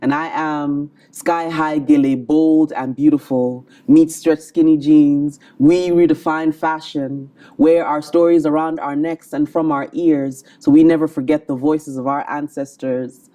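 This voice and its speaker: A woman performing a spoken word poem in a rhythmic, measured delivery. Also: sits low, fairly even intonation, dark tone. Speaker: poet. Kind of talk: spoken word poetry recital.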